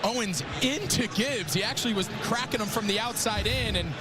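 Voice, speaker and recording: A man's voice: sits high, a little fast, loud music behind the voice. An American man giving explanatory, conversational analysis as a television color commentator. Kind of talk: broadcast tactical analysis. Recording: very noisy game audio relayed through stream.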